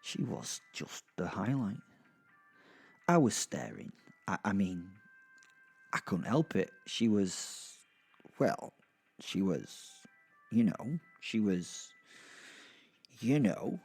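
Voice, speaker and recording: British man, gravelly, dark mellow tone, wry halting storyteller, audio drama narration, faint music behind. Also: lowish voice, big pitch swings. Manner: wry, conversational, halting asides.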